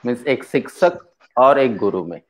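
A middle-aged man interviewing a guest over a video call, asking questions haltingly with many short acknowledgments. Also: medium pitch, lively intonation. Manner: halting, frequent "haan" acknowledgments. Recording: dull lo-fi video call audio.

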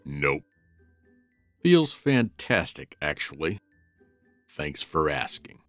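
A man voicing a gruff, world-weary, protective big dog in a comic dub, with a dry, sarcastic, deadpan manner. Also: a bass voice, takes it slowly, no echo, soft background music, heavily acted. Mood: sarcastic, irritated. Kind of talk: animated comic dub acting.

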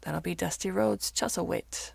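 A woman's voice: lowish voice, moderate pace, creaky, husky, no room sound, heavily acted. A woman voicing a plain-spoken, good-natured male mammoth keeper from rural Yorkshire in a story, with folksy, clipped phrasing. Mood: matter-of-fact.

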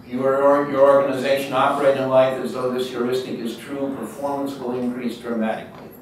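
An older man giving a conversational, emphatic keynote lecture at a university, pacing in a large lecture hall. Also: mid-range voice. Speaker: guest lecturer. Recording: echoey hall, distant mic, faint noise.

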